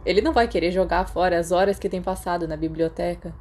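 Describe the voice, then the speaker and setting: Woman reading as soft-spoken, wry Brazilian teenage boy. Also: fairly low pitch, fast, lightly acted. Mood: amused. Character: teenage schoolboy, gentle, wry, understated.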